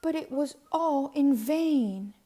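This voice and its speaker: Woman doing a kids read-aloud, unhurried, soft mellow tone, kinda high voice. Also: animated. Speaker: storybook reader. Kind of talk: expressive children's read-aloud narration.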